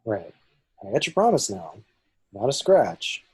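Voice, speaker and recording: A man acts out a cocky space smuggler pilot in a film script read. The husky voice swings widely with nervy, wisecracking bravado. The sound is close and dry. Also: lowish voice, sing-song, unhurried, a little mellow, no room sound, heavily acted. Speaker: actor in an online table read. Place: remote video call, indoors.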